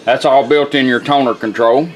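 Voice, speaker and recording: A man's voice: medium pitch, fairly even intonation, normal speed, soft background music. A plainspoken, folksy man giving a casual product demonstration as a radio restorer. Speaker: radio restorer presenting his work.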